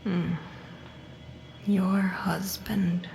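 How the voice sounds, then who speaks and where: An actress playing a vengeful, coldly controlled female patient in a psychological horror audio drama, mocking and deliberate, often dropping into breathy murmurs. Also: medium pitch, level tone, darkish tone, slight background noise. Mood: taunting.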